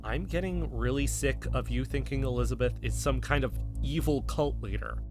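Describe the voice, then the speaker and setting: A man's voice: mid-range voice, normal speed, over music, lightly acted. An actor playing a defensive, loyal male investigator in an audio drama styled as a podcast. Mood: indignant, defensive.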